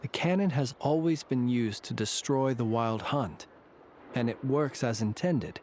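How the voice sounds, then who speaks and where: Man playing a courteous, dryly ironic game gentleman. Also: medium pitch, animated, a little hiss or hum, close to an everyday voice. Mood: composed, pondering. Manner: formal, measured, dryly ironic. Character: courteous, wry, secretive male lantern-bearing Lightkeeper. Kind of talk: video game voice acting.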